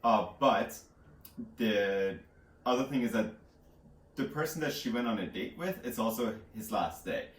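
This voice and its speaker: Man, young adult, lowish bright voice, rambling amused recap, slangy, echoey room. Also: expressive, unhurried, pauses often. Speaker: book podcast co-host. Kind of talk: casual recap chat. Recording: echoey room, distant from microphone.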